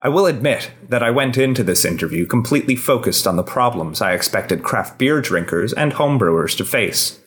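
A man's voice: mid-range voice, animated, fairly quick, few pauses, a bit bright. A man hosting a podcast and reading a scripted personal essay aloud.

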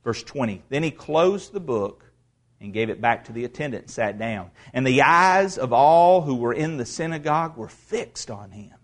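A man's voice: medium pitch, animated. Plainly folksy preaching man. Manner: conversational preaching with asides and restarts, rising to loud proclamation; reads scripture aloud slowly. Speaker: pastor. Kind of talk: expository sermon.

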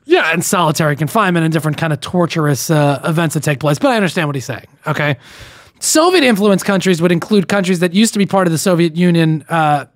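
Guy on a podcast reading emails out loud, kinda high voice, dry close mic. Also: animated, fast talker, no room sound. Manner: reads emails aloud with running commentary, frequent restarts. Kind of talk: casual podcast chat.

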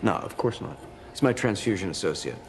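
A man's voice: low-pitched, expressive, fairly quick, slight background noise. A man playing a smug transfusion enthusiast. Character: smug man boasting about blood transfusions. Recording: clip with roomy reverb and faint ambience.

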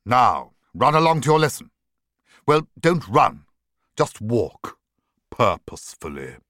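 Bright, fussy headmaster. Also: male voice, medium pitch, very animated, takes it slowly, dry recording, clean studio sound. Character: weary, dismissive male school headmaster. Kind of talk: children's audiobook character acting.

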